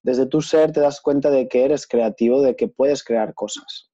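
A man in his thirties hosting a casual interview over a video call, speaking in a relaxed, thoughtful way with many hesitations. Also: mid-range voice, measured pace. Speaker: host and certified facilitator. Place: small room at home. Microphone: earphone headset on video call. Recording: dull lo-fi video call audio.

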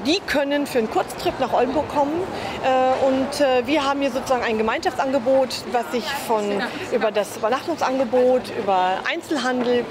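A woman in her fifties giving an interview statement as a managing director, outdoors in town. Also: a little high. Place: outdoors in a city street. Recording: loud busy street crowd. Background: crowd and traffic.

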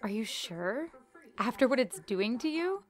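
A woman's voice: a little high, sing-song, a little slow. A young woman playing a polite, cautious guest in an amateur audio drama. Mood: wary.

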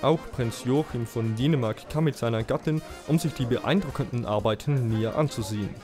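A man's voice: medium pitch, brisk. A man reading scripted documentary narration as a voice-over. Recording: loud music behind the voice. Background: loud music.

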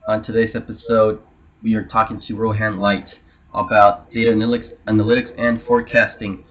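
Male podcast host intro, monotone, muffled recording. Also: on the low side, fairly quick. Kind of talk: show intro. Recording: dull lo-fi sound.

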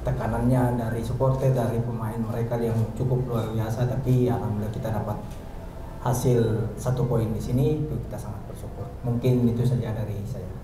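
A man's voice: medium pitch, flat delivery, brisk. A young man, a football player speaking in plain, conversational short phrases at a press conference. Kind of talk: post-match press conference remarks. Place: indoor press conference room. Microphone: handheld microphone. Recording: echoey, noisy press room.

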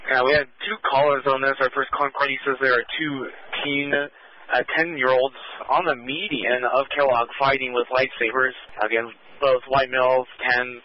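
Male dispatcher, mid pitch, halting delivery, muffled scanner audio. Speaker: police dispatcher. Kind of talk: radio dispatch.

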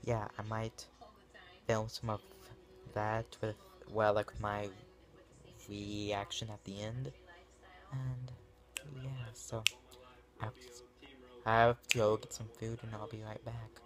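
A man's voice: fairly low pitch, flat delivery, takes it slowly, pauses often. A teenage or young adult man chatting casually to a phone held at arm's length, rambling hesitantly with lots of filler. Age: teens to young adult. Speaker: vlogger. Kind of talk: casual vlog chat to camera. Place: small rooms indoors at home.

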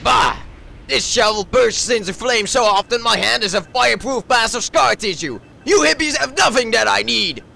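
A blustering cartoon army man with a heavily gravelled, high-pitched bark. His delivery swings up and down like an angry drill sergeant's, with faint noise behind it. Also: animated, normal speed, sharp tone, heavily acted. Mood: outraged. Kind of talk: comic dub dramatic reading.

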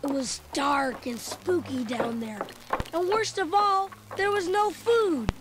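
A woman's voice: high-pitched, takes it slowly, very noisy. A woman voicing a chubby, food-loving boy who narrates a storybook record with chatty, comic asides. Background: music.

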